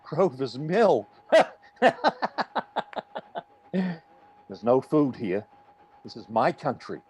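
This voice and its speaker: An actor playing a paranoid, beaten militia soldier in a radio play, with a jittery, stammering, emphatic delivery. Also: male voice, medium pitch, lively intonation, takes it slowly, warm tone, a little hiss or hum. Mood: suspicious, jumpy. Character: paranoid, defeated militia soldier guarding his territory. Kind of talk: radio play reading, dramatic dialogue.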